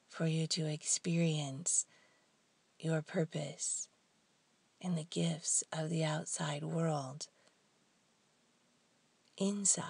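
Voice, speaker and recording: A woman leading a guided meditation in a soft, hushed, breathy voice, leaving long pauses between short phrases. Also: deep voice, no room sound.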